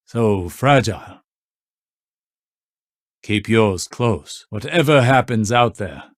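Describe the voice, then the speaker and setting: A man playing a composed, scholarly mentor in a video game, with measured, formal and authoritative delivery. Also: fairly low pitch, lively intonation, no echo, clean studio sound. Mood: warm, solemn. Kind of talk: scripted game character barks.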